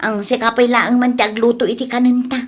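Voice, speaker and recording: A woman's voice: fairly high pitch, little pitch movement, brisk, lightly acted. A woman playing a bustling, insistent wife in a radio comedy drama. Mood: bargaining.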